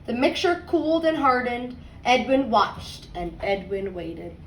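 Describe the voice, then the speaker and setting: A woman teacher reading a picture book aloud to young children, expressively and deliberately, stressing words and adding conversational asides. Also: a little high, very animated, fairly bright tone. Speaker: second grade teacher. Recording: roomy echo, distant mic, faint noise.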